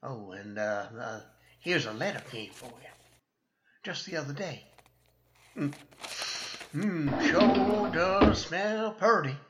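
A man's voice: fairly high pitch, animated, slow, pauses often, heavy background noise. A man playing a genial, hesitant older small-town sheriff with a Western American drawl in a radio western. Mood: helpful, chatty. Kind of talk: old-time radio western acting.

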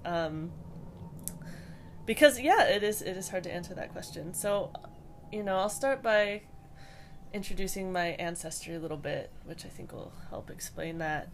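Thoughtful young woman with a low voice. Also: normal speed, a little hiss or hum. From Hawaii. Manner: hesitant, thoughtful, many fillers and restarts. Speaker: podcast guest. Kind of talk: casual interview answers.

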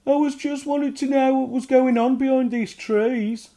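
A man voicing a small, mischievous, nosy goblin boy in a high, squeaky, whining voice. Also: fairly even intonation, moderate pace, warm tone. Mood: pleading.

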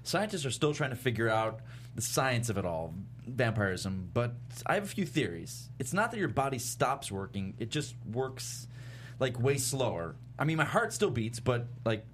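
Comedy read: male actor as sardonic, deadpan thirtysomething vampire, animated intonation, slight background hum. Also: medium pitch, moderate pace, close to an everyday voice. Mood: explaining. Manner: deadpan, self-deprecating. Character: mopey thirty-five-year-old male vampire, sardonic older brother. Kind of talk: comedy script table read.

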